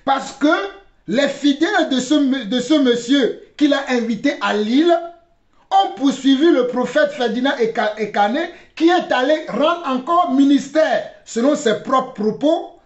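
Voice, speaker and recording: Male commentator-preacher, strikingly high voice, halting emphatic delivery, muffled roomy sound. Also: lively intonation. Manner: deliberate, halting, repeats phrases for emphasis. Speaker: religious commentator. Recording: dull, roomy, echoing recording.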